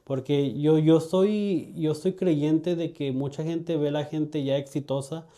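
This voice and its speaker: A man's voice: fairly high pitch, a little slow. A man hosting a podcast interview, asking friendly, complimentary questions with some repeated words. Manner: friendly, flattering questions with repetitions. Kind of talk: casual interview talk.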